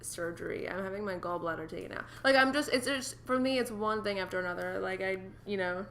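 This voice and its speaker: Chatty young woman, bright voice. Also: medium pitch, brisk, a little hiss or hum. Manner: chatty, laughs often, many "like" fillers. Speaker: podcast co-host. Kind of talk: casual chat. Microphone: desktop USB microphone.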